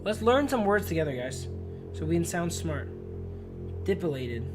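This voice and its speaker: Guy streaming a visual novel, reads it out loud, slangy, trips over long words, music playing behind him. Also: on the high side, sing-song, normal speed. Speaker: livestreamer reading a visual novel aloud. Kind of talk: narrating game text, casual chat with viewers.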